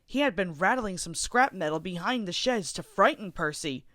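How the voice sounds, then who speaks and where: A woman reading a children's storybook aloud as an expressive narrator, with music underneath. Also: medium pitch, normal speed, no echo, studio quality. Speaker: storybook reader.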